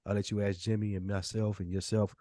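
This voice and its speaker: A man's voice: on the low side, little pitch movement, a little fast, warm tone, no room sound. A man co-hosting a casual podcast, talking bluntly with slang and swearing. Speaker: podcast host.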